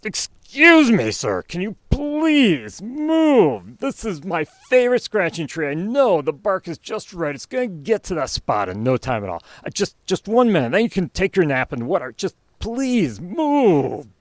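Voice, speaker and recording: A man's voice: on the low side, big pitch swings, moderate pace, fairly bright tone, no echo. A man voicing a polite male bear with an itchy back, pleading again and again for his favorite tree. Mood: shrill exasperated. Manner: pleading, repeats himself.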